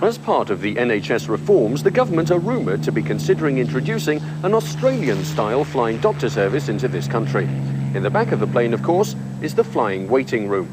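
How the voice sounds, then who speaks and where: A male presenter gives deadpan comedy links with a wildly animated pitch. Loud noise and hum sit behind him. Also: fairly quick. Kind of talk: topical stand-up link.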